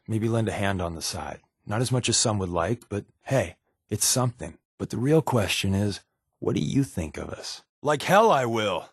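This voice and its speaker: An actor with an American accent playing a wry, easygoing undercover resistance spy in a video game, talking in a conversational, sardonic way. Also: male voice, fairly low pitch, husky, no echo, clean studio sound, exaggerated voice. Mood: earnest, then firm. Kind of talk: video game character voice lines.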